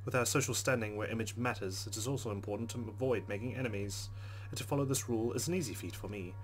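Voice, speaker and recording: Low-voiced male gamer narrating over music. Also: fast. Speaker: let's play host. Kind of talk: reading game narration aloud with casual commentary. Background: game music.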